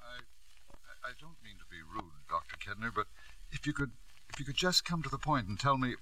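A man's voice: mid-range voice, lively intonation, normal speed, pushed and bright, a little hiss or hum, low-quality recording. Driven, devoted father in vintage radio drama. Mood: anxious. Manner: emphatic, repeats himself, falters when anxious. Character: devoted, driven father of a sick son, narrating his past. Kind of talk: 1950s radio drama acting. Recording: vintage radio broadcast with music.

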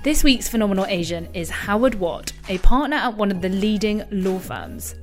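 Lady podcast host, a bit low pitched, cheerful scripted intro, faint music behind.

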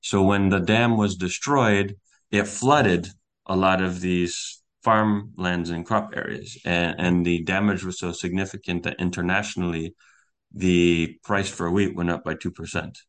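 Low, flat-voiced young man explaining. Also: a little slow. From United States. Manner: earnest, explanatory, with small restarts. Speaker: guest journalist. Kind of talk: podcast interview.

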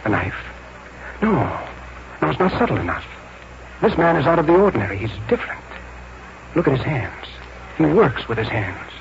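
Halting, anguished artist, old noisy radio. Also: male voice, fairly high pitch, very animated, vocal fry. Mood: brooding, fixated. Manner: halting, repetitive, anguished delivery. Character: troubled, confused artist driven by compulsion. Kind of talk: 1940s radio drama acting. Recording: noisy muffled vintage 1940s radio recording.

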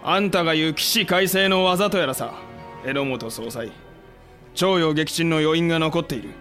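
A man's voice: fairly high pitch, moderate pace, a bit bright, soft background music, close to an everyday voice. A male actor playing a blunt, terse, cool-headed samurai warrior commander in a historical audio drama. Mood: determined.

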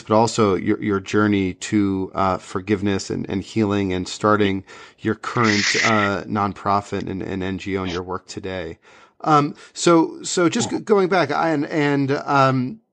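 A man's voice: lowish voice, steady tone, a little slow, no room sound. A man interviewing a guest, asking eager, rambling questions.

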